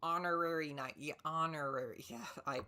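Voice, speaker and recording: A woman's voice: low voice, fairly even intonation, moderate pace, bright, forceful tone. A woman giving casual, playful commentary as she livestreams a video game, repeating words as she thinks aloud. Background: game music.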